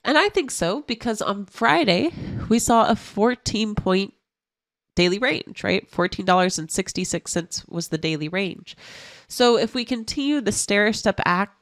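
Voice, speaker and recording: A woman who sounds stuffy from a cold, walking through trading charts as an options analyst in a clear, explanatory way. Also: medium pitch, animated, measured pace, a bit bright, dry recording.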